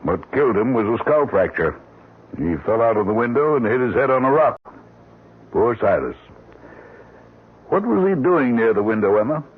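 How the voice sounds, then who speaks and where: An actor playing a sober, kindly family doctor, measured and matter-of-fact, in old-time radio drama style. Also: male voice, mid-range voice, sing-song, slightly rough. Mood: regretful. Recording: muffled, tinny old radio broadcast.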